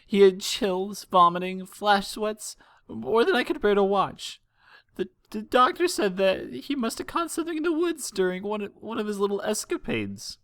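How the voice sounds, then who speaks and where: A man voicing a distraught mother pony in a fan fiction audiobook, halting and breathy as the character pleads for their child through sobs. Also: sits high, lively intonation, a bit bright, no room sound, voice pushed up. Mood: tearful, grieving. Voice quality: breathy, trembling. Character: distraught, tearful mother pony pleading for her missing son.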